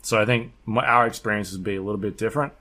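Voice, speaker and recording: A man's voice: lowish voice, steady tone, racing, bright, forceful tone. A man talking casually as a podcast co-host, explaining his experience with video games in a conversational way. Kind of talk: casual unscripted chat.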